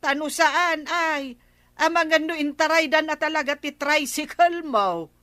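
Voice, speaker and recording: A woman's voice: sits high, unhurried, fairly bright tone, dry recording. An actress with an Ilocano accent playing a scolding, emphatic village woman in a radio comedy drama. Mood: admonishing.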